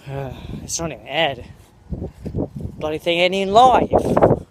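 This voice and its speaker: A man's voice: a little high, animated, a little slow, a little hiss or hum, low-quality recording. American guy faking a British accent. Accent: American speaker putting on a mock British accent. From United States. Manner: jokey and meandering, full of fillers and self-corrections. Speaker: vlogger host. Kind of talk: rambling walk-and-talk vlog. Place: outdoors on a school campus.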